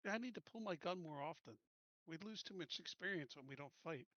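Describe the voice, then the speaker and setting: A man's voice: on the high side, animated, fairly quick, sharp tone, no room sound, lo-fi sound. A man chatting casually as a player in a tabletop roleplaying game. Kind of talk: casual chat.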